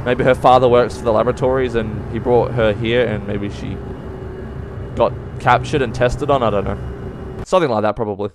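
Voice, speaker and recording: A young man giving casual gameplay commentary, excitable and prone to sudden yelps, his throat slightly dry and hoarse. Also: medium pitch, normal speed, noisy background. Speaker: let's play gamer. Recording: voice over game music.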